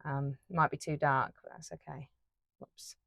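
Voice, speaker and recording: A woman chats away while painting, looping back with fillers and restarts. Her voice sits a touch low and sounds a bit croaky. The sound is dry and close, recorded on a phone. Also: little pitch movement, a little slow, bright, forceful tone, dry recording. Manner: rambling, chatty, many fillers and restarts. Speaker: art YouTuber. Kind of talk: casual chat while painting.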